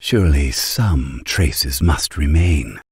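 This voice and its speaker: A man playing a scheming, scholarly villain in a fantasy game, coolly amused, with a gravelly, husky voice and a slow, musing delivery. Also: rumbling low, animated, dry recording, clean studio sound, heavily acted. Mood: musing, fascinated. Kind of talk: fantasy video game voice acting.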